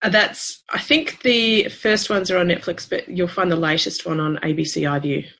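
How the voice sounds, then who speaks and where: An Australian woman who is a podcast guest, talking in a relaxed, easygoing way with plenty of fillers. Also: lowish voice, normal speed, vocal fry, slight background noise. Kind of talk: casual conversation.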